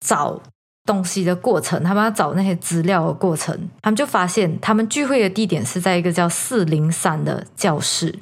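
A woman's voice: on the low side, normal speed. A woman with a Malaysian accent telling a story casually and explaining as she goes, mixing in English words. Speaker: podcast host telling a story. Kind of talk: casual spoken storytelling to co-hosts.

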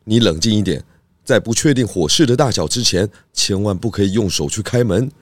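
A man playing a calm, clever pig detective who leads with care in a children's audio drama. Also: fairly low pitch, fairly quick, no echo. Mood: calm, in command.

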